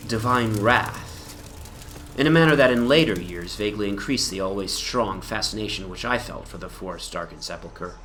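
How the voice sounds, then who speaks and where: A man's voice: on the low side, fairly bright tone, a little hiss or hum, close to an everyday voice. A man voicing a haunted, reclusive dreamer in a dramatic gothic horror reading, speaking in a solemn, formal, brooding way. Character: Jervas Dudley, haunted, reclusive dreamer recounting his obsession. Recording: narration over a music soundscape.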